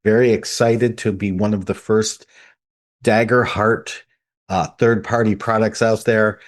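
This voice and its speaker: A man with a fairly low voice co-hosts a podcast. He relays news in a conversational style, explaining each item as he goes. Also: fairly even intonation, measured pace. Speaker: podcast co-host.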